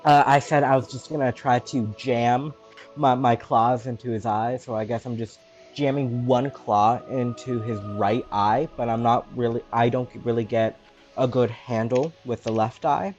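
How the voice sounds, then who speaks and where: Mid-pitched man playing a tabletop game. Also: steady tone, moderate pace, a little mellow, highs cut off, soft background music. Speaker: player in a tabletop roleplaying game. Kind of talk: casual game talk.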